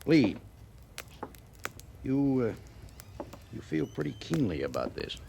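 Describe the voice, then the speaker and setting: An actor playing a firm, weary, duty-bound army major in a 1950s radio western, deliberate and formal, on a vintage recording. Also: male voice, mid-range voice, huge pitch swings, gravelly, mellow, a little hiss or hum, full character voice. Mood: firm, troubled.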